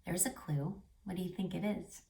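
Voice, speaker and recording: A woman reading a picture book aloud for children, animated, pausing to ask questions and dropping to hushed tones. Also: lowish voice, creaky. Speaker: read-aloud storyteller for children. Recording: roomy echo, distant mic, music behind.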